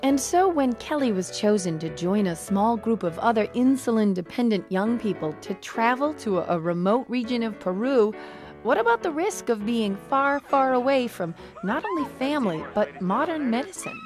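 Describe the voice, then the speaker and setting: A woman's voice: on the high side, sing-song, normal speed, faint music behind. An American woman reporter reading a scripted television news feature.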